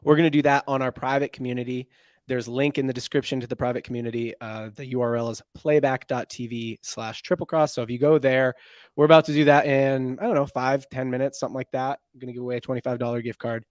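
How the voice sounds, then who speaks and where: Guy hosting a sports podcast, middle pitch, rambly, lots of uhs, dry close mic. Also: level tone, quick pace, darkish tone, no room sound. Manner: loose, rambling delivery with many fillers and restarts. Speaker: podcast host. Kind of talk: casual sports podcast chat.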